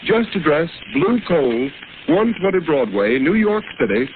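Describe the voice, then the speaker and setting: A man's voice: fairly high pitch, big pitch swings, unhurried. A man announcing in a dramatic, emphatic scripted style on old radio. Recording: noisy tinny old radio recording.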